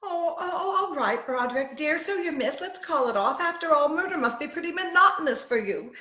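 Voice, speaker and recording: A woman's voice: high voice, lively intonation, a little fast, sharp tone, lo-fi sound. A woman playing a flustered, wheedling captive in a 1940s-style radio comedy, chattering with stammers and dithering, over a roomy video call. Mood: coaxing. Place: remote video-call performance.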